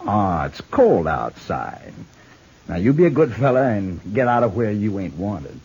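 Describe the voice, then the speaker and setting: A man playing a sly, mocking drifter in a 1950s radio Western, folksy and drawling, with a raspy voice. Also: on the low side, very animated, unhurried, darkish tone, heavily acted. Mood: taunting. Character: sly, mocking drifter troublemaker in the Old West. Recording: dull vintage radio recording.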